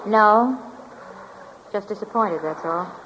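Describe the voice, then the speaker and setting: Cool femme fatale, echoing old radio. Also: female voice, medium pitch, takes it slowly, a bit bright, highs cut off. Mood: cool, bargaining. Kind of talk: 1940s radio detective drama acting. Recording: reverberant, noisy 1940s radio recording.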